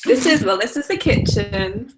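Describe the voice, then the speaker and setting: A woman's voice: on the high side, very animated, moderate pace. A woman giving a playful, giggly tour of her home while carrying a laptop around. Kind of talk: casual group video chat. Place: walking through her home at night. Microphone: laptop mic carried around. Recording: noisy laptop mic.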